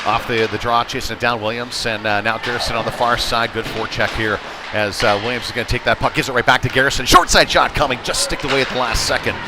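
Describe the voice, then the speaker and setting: Man calling hockey over loud rink noise. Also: medium pitch. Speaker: play-by-play hockey commentator. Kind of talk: live ice hockey play-by-play commentary. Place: indoor ice hockey rink. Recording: noisy live rink stream, loud background noise.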